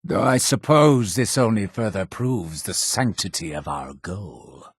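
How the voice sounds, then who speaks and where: A man's voice: on the low side, expressive, unhurried, husky, dry recording, studio quality. An actor playing a paranoid, imperious male cult leader in a video game, with a theatrical, preachy and menacing delivery. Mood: stern, disdainful. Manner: theatrical, preachy, menacing, swinging from hushed to shouting. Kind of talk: dramatic video game voice acting.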